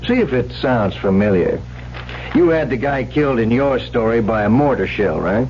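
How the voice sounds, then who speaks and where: An actor playing a suspicious, sardonic police detective with a clipped, needling manner in an old-time radio drama. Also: male voice, mid-range voice, lively intonation, normal speed, darkish tone, lightly acted. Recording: muffled old radio recording, faint hum.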